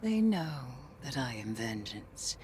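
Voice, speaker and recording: A brooding occult warrior from a video game. The voice is very low and measured, with a breathy, solemn intensity. Each phrase falls like a dark prophecy, over faint background noise. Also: female voice, very animated, heavily acted. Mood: haunted, intense. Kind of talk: dramatic video game acting.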